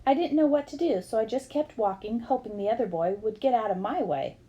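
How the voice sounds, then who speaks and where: A woman's voice: a little high, lively intonation, brisk, mellow, dull audio. A woman reading a memoir aloud as scripted storybook narration. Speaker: reader of a book aloud.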